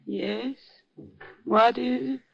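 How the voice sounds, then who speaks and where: An actress playing a mournful widow in a 1950s radio drama. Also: female voice, on the high side, fairly even intonation, very slow, gravelly, slightly rough, heavily acted. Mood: wary, startled. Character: grieving foreign widow, middle-aged or older, theatrically mournful. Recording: muffled old 1950s radio recording.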